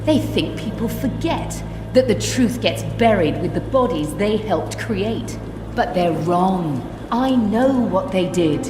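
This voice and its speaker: Sardonic rogue in echoing reverb. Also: female voice, mid-range voice, animated, slow. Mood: bitter. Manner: wry, biting. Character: sardonic, defiant female treasure-seeking rogue. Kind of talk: fantasy video game acting. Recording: echoey, long reverb, music behind.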